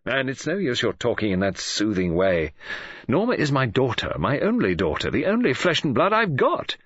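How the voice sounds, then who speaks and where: A man's voice: medium pitch, huge pitch swings, normal speed, fairly bright tone, slightly rough, no room sound, muffled recording, exaggerated voice. A man narrating an audiobook voices an anxious, desperate middle-aged father who speaks urgently in a raised voice. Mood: agitated. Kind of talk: audiobook character voice.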